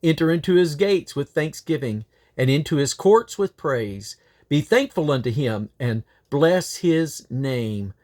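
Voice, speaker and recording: This is a man with a somewhat higher-pitched voice, reading from scripture. His delivery is solemn and declamatory, as a reader presenting the text aloud. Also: expressive, unhurried, darkish tone. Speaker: Bible reader.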